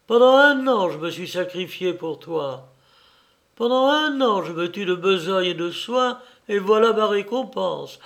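A bitter middle-aged mother from a storybook drama, as a male reader acts her out. She is scornful and full of self-pity, scolding her son in a raised pitch. The delivery is theatrical and weighty.

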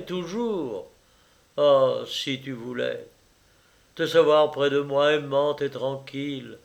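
A man reading aloud and voicing a passionate young lover who pleads and declaims in a slow storybook reading. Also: on the high side.

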